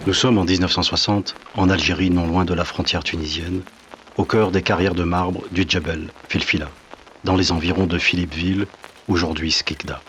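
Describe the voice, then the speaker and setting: A very low-pitched man delivers scripted, documentary-like narration for an audio drama. Faint rainy outdoor ambience sits behind the voice. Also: fairly even intonation, normal speed, a bit bright, a little hiss or hum.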